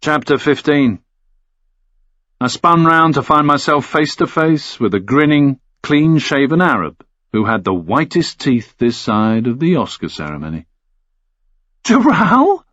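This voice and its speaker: A man narrates a thriller audiobook in the first person. His voice sits at a middle pitch. The recording is very dry, with a slightly muffled top end. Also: lively intonation, a little slow, fairly bright tone, no room sound.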